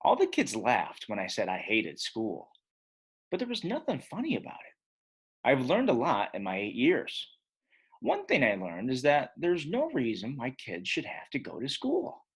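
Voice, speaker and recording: A man's voice: medium pitch, measured pace, slightly rough, full character voice. A man voicing a grumpy, opinionated eight-year-old boy who hates school, telling his story emphatically in a children's storybook. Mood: matter-of-fact griping. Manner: emphatic, expressive. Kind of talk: first-person children's storybook narration.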